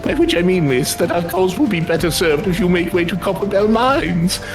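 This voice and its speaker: A woman's voice: fairly low pitch, expressive, moderate pace, over music, close to an everyday voice. A woman voicing a pompous, menacing male alchemist henchman in a fantasy game, with an abrupt, commanding, theatrical manner. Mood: gloating, obsessive. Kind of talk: acted reading of fantasy game dialogue.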